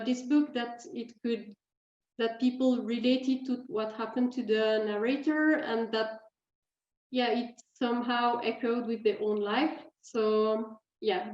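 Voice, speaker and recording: A woman's voice: fairly high pitch, darkish tone, reverberant, muffled recording. A young woman with a Swiss French accent, a writer talking about her books in English with many fillers and restarts. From French-speaking Switzerland.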